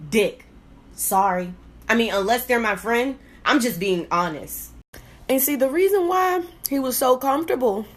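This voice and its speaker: A woman telling a personal story casually and candidly, blunt and profane, with lots of slang and animated acting-out of her reactions. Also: on the high side. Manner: animated, acts out quoted reactions. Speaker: storyteller hosting own vlog.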